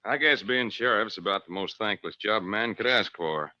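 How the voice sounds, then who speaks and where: Stern frontier sheriff, forceful tone, folksy plain speech, old radio drama, muffled lo-fi sound. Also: male voice, on the low side, moderate pace, dry recording. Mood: stern, aggrieved. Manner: folksy, plainspoken, ungrammatical drawl. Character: stern, friendless veteran western county sheriff, rigid and plainspoken. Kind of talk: 1940s radio drama acting, first-person narration. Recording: dull, lo-fi old radio recording.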